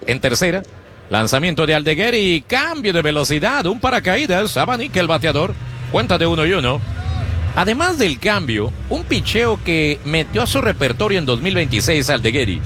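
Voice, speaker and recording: A man calls a baseball game on the radio, pitch by pitch, with a lively voice that climbs and drops as the action moves. His voice sits a little high, and a faint hum of noise runs behind him. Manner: animated, rhythmic pitch-by-pitch calling. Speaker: play-by-play announcer. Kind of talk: Spanish-language baseball radio commentary.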